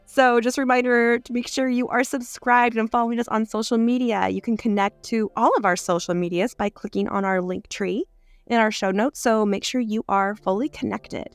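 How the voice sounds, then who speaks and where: A woman co-hosting a podcast in bubbly casual conversation, her voice slightly hoarse from illness. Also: on the high side, steady tone, normal speed, dry recording, faint music behind. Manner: bubbly, enthusiastic storyteller. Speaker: podcast co-host. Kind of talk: casual chat.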